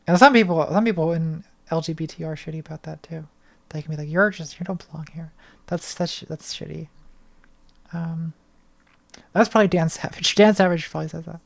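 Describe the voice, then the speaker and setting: Young woman, casual livestream Q&A, slightly hoarse, hesitant, lots of ums, studio mic, small bedroom. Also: sits low. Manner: thoughtful, hesitant, many restarts and ums. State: voice getting hoarse. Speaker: streamer answering patron questions. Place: small bedroom lit purple. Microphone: studio mic on arm, front of frame.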